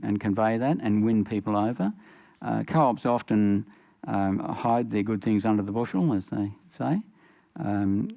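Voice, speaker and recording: Halting older Australian man, gravelly. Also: lowish voice, mellow, dry recording. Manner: halting, many uh fillers. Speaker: panelist. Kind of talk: panel discussion.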